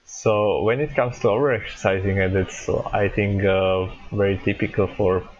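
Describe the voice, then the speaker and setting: A man hosting a podcast interview, speaking haltingly and often restarting words as he searches for phrasing. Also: fairly low pitch, steady tone, highs cut off, slight background noise. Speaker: podcast host.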